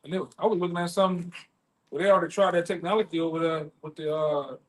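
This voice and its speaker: A man's voice: fairly high pitch, fairly even intonation, quick pace, a bit bright. A man in casual, unscripted talk, colloquial and prone to repeating his phrases. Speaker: member of a street preaching group.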